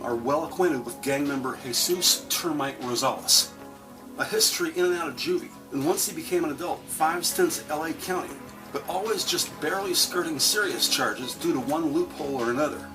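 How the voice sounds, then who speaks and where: A man's voice: fairly high pitch, low-quality recording. A man narrating a scripted crime drama as a dramatic, detail-heavy storyteller.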